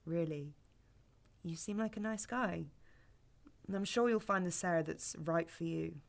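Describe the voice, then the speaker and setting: A woman's voice: on the low side, unhurried, vocal fry. A young woman playing a blunt, guarded sceptic on a first date in a naturalistic sci-fi audio drama, dry and matter-of-fact. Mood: placating.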